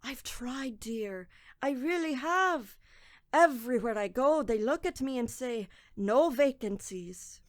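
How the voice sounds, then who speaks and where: Female actor as guilt-ridden young man, high voice, anguished halting delivery, melodramatic horror audio play, dry sound. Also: animated, no echo. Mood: weary, despairing.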